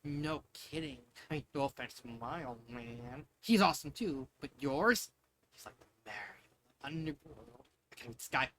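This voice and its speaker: A man's voice: mid-range voice, expressive, normal speed, a bit bright, a little hiss or hum, voice pushed down, close to an everyday voice. A man voicing a brash but sincere young girl, the casual best friend in a fan fiction storybook. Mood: awed.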